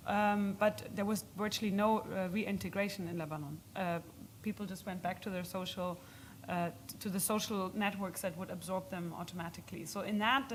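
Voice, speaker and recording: A woman giving halting, explanatory answers as an expert speaker in an unscripted question session at a policy event. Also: medium pitch, a little hiss or hum.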